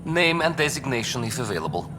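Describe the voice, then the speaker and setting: An actor playing a dry-witted, reflective officer in a video game, speaking in a deliberate, deadpan way. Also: male voice, medium pitch, close to an everyday voice. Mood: dry, formal. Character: dry-witted, reflective male cryo bay officer, former teacher. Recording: clear background noise.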